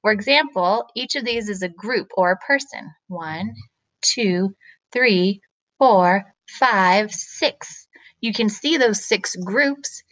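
Bright-voiced woman teaching children. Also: mid-range voice, low-quality recording. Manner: deliberate, questioning, step-by-step. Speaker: math teacher. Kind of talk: instructional explanation for children.

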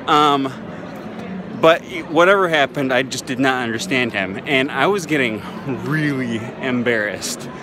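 A man in his thirties telling a story in a casual selfie vlog outdoors, relaxed and chatty with lots of ums, filmed on a phone held at arm's length. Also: medium pitch. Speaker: language-learning vlogger. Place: busy city streets and square outdoors. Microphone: phone camera held at arm's length. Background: faint street and traffic noise.

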